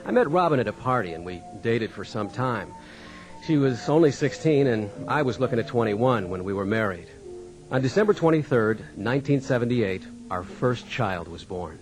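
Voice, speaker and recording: A man acting as an easygoing young American farmer in a radio drama, telling his life in a folksy, conversational way. His mid-range voice moves in lively swings of pitch, with music around it. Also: moderate pace, close to an everyday voice, rough recording. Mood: reflective. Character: easygoing young farmer and father, dismissive of religion. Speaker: narrator and lead in radio dramatization. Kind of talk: scripted first-person radio drama narration and dialogue.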